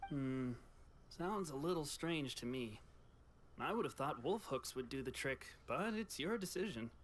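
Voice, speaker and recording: Sensible, higher-voiced wounded soldier. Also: male voice, lively intonation, measured pace, slight background noise. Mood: doubtful. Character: sensible, wounded young male soldier, gently scolding. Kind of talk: anime game voice acting.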